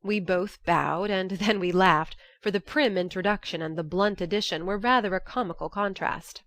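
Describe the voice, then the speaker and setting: A woman's voice: mid-range voice, moderate pace, fairly bright tone, no room sound. A woman narrating an audiobook, reading a classic novel's first-person letters as scripted narration.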